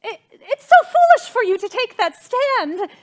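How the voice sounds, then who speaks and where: A woman playing an excitable, overwrought society hostess and mother in a stage comedy, exclamatory and given to repeating herself. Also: very high voice, measured pace, exaggerated voice. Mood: distressed. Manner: melodramatic, exclamatory, repeats phrases. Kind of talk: theatrical stage comedy acting.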